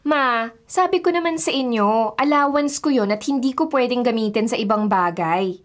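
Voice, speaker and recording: A woman's voice: a little high, moderate pace, close to an everyday voice. A woman playing a lazy, self-centred young daughter in a radio drama, whiny and dismissive. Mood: sulky, demanding. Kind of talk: Filipino radio drama acting.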